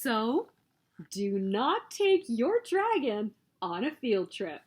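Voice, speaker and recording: Lady doing storytime for little kids, slow n high voice, really swoopy and expressive. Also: a little hiss or hum. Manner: expressive, emphatic storytelling with sing-song refrains. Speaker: children's story reader and host. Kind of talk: read-aloud picture book narration for children.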